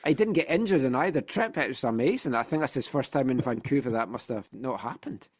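A man's voice: mid-range voice, lively intonation, a little fast, husky. A man hosting a casual football podcast, chatty and telling stories, sounding a little congested. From Scotland. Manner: chatty, storytelling, frequent restarts. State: congested from a sinus infection. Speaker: podcast host.

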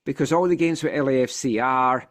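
A man's voice: mid-range voice, a little fast. A Scottish man hosting a football podcast in casual chat, telling things with wry animation, slightly congested from a sinus infection. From Scotland.

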